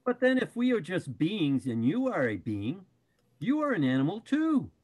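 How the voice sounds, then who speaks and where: A man's voice: high-pitched, wildly swooping pitch, moderate pace, darkish tone, full character voice. A man playing an offended, argumentative animal in a dramatic play reading.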